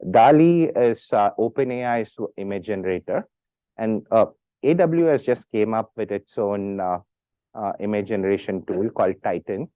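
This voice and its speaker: Man, halting speech, muffled audio. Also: lowish voice, dry recording. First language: Tamil. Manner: hesitant, many fillers and restarts. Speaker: speaker at an institute talk. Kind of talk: talk with audience questions.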